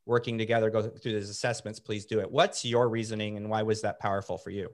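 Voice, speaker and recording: A man's voice: on the low side, fairly even intonation, quick pace. A man hosting a casual interview, upbeat and often restarting his sentences. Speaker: host interviewing gym coaches.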